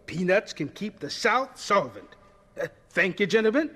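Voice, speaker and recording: An actor playing an elderly, humble Southern scientist in an audio drama, with a hesitant, courteous and earnest manner. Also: male voice, high-pitched, very animated, normal speed, pushed and bright, exaggerated voice. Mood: fumbling, courteous.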